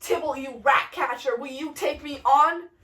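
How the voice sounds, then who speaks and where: Echoey, distant recording. Female performer as brash young male duelist, high-voiced, melodramatic, declaiming in slang for an amateur play. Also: normal speed, a bit bright, faint music behind. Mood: boastful. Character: Mercutio, cocky taunting young male brawler, melodramatic when wounded. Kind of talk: amateur retelling of a stage tragedy. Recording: echoey room, distant microphone.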